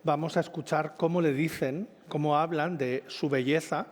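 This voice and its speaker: Man, event presenter, informal stage talk, fairly high voice, reverberant hall. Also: steady tone, normal speed.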